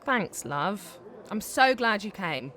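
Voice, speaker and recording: A woman's voice: medium pitch, very animated, takes it slowly, pushed and bright, heavily acted. A woman playing a successful young British stage actress, a frank, teasing and affectionate friend, in a naturalistic audio drama. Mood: warm, chatty.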